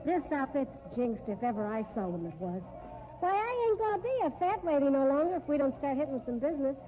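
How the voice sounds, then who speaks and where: A woman's voice: high-pitched, big pitch swings, a little fast. An actress playing a worried, grumbling circus fat lady with a folksy, slangy manner in a 1950s radio drama. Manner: folksy, uneducated slangy grousing. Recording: muffled, faintly noisy old radio recording.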